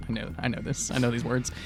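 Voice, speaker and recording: A man's voice: mid-range voice, level tone, fairly quick, vocal fry. A man playing a tabletop roleplaying game, chatting and bantering casually with the other players.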